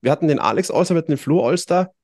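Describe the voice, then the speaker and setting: A man hosting a relaxed podcast chat, his voice slightly hoarse from a cold. He talks in a chatty, upbeat way, full of small fillers. The sound is dry and close, with no room around him. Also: a little high, racing, dry recording. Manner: chatty, upbeat sign-offs with filler words. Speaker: podcast host.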